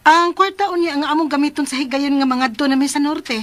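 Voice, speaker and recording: High-pitched, brazen scheming woman. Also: quick pace, fairly bright tone, no echo. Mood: complaining. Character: greedy, complaining female accomplice, brazen and sly. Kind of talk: radio drama acting.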